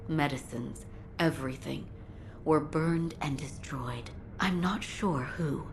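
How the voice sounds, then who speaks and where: Castle doctor, female: low register, slow and measured, soft-spoken, formal game cutscene delivery, noticeable background noise. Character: composed, gentle young female castle doctor. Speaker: video game voice actor. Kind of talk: dramatic game cutscene dialogue. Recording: noisy game audio, ambient background.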